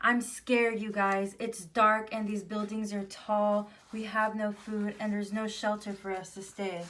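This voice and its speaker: A woman's voice: mid-range voice, level tone, a little slow, a bit bright, natural voice. A woman voicing a timid, anxious little female mouse in a children's story. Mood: scared, weary.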